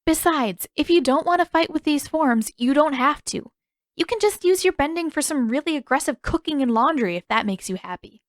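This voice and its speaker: A stubborn teenage heroine of a fantasy story, played by a woman narrator, pitched fairly high. She is spirited and quick to get defensive, with an emphatic, exasperated and wry edge. It is recorded very dry. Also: lively intonation, no echo.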